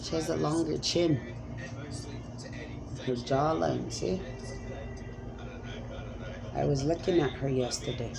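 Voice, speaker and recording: A woman's voice: low voice, slow, creaky, pauses often, over music. A woman speaking as a self-described spirit medium in a rambling, emphatic monologue, pleading and repeating commands.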